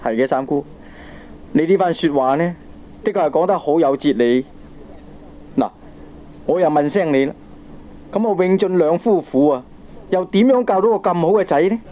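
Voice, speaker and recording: Man acting as soft dark-toned hong kong dad, puzzled n hard done by, muffled old radio play. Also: fairly high pitch, normal speed, pauses often, close to an everyday voice. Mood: deferential, resigned. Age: middle-aged. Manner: deferential, questioning. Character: doting middle-aged father, baffled and aggrieved. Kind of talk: Cantonese radio drama acting. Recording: dull, slightly noisy old cassette recording.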